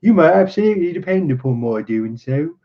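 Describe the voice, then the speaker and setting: A man's voice: a little high, expressive, a little fast, voice pushed up. A man voicing a polite, earnest young male engineer from a storybook, speaking formally. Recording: dull, muffled lo-fi recording.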